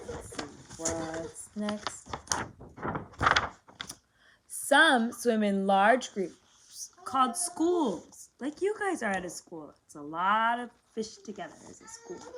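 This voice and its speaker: A woman's voice: medium pitch, big pitch swings, takes it slowly, fairly bright tone, slight background noise. A woman reading a picture book aloud as a lesson for young children, explaining simply, asking questions and repeating words.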